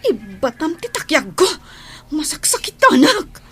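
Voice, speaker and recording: Casting: sharp-tongued Ilocano woman, high, animated voice, agitated radio drama delivery. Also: a little slow, heavily acted. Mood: distressed, indignant. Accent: Filipino, Ilocano. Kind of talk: emotional radio drama acting.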